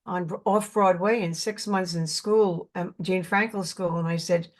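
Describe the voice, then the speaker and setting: A woman's voice: fairly low pitch, steady tone, moderate pace. An older actress with a New York accent, talking earnestly in an interview and often repeating words as she searches for them. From Brooklyn, New York. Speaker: actress guest. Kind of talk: casual interview chat.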